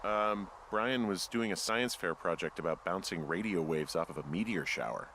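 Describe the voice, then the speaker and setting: Low-voiced, wry fire lookout. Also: male voice, moderate pace, sharp tone, a little hiss or hum. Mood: casual, curious. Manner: dry, self-deprecating, casual. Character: wry, easygoing male fire lookout out exploring. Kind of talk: naturalistic video game dialogue.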